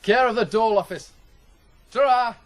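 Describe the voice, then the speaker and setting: An actor playing a restless, scornful young farm lad in a radio drama. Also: male voice, very high voice, normal speed. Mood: scornful, mocking. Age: young adult. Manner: blunt, mocking. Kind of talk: naturalistic radio drama acting.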